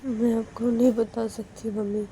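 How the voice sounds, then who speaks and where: A woman's voice: fairly high pitch, little pitch movement, normal speed, warm tone, slight background noise, voice pushed up, lightly acted, rough recording. Woman doing a tearful pleading young wife.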